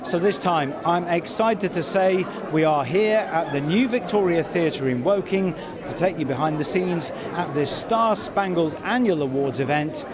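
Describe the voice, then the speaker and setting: A man with a notably high-pitched voice presents and interviews as a podcast host. His delivery is upbeat, and loud crowd chatter from a busy venue surrounds him. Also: lively intonation, normal speed. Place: theatre venue during red carpet arrivals.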